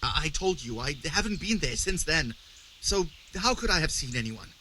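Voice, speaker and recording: A nervous petty scavenger for a video game. His voice is rather high and sharp, defensive and prone to stammering, with faint outdoor ambience behind it. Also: sing-song, normal speed, slight background noise, low-quality recording. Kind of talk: video game dialogue acting.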